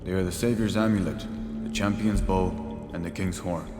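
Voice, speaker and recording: Actor as dutiful rebel guard, low voice, formal, roomy echo and music. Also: male voice, fairly even intonation, normal speed, close to an everyday voice. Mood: earnest. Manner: earnest, respectful, formal. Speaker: rebel soldier at his post. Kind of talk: video game cutscene dialogue. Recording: echoey, reverberant game mix.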